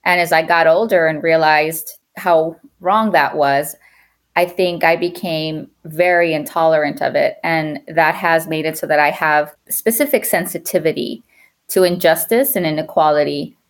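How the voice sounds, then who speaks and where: A woman's voice: deep voice, a little slow. A middle-aged woman from Mexico, a podcast guest speaking earnestly about her upbringing and dropping Spanish phrases into her answers. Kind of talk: interview conversation.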